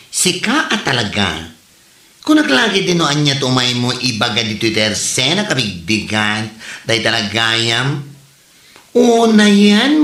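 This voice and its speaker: Casting: actor as incredulous, dramatic Ilocano neighbour, swooping intonation, comedy drama, echo with faint music. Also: male voice, on the high side, bright, forceful tone, heavily acted. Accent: Ilocano Filipino. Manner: exclaiming, theatrical.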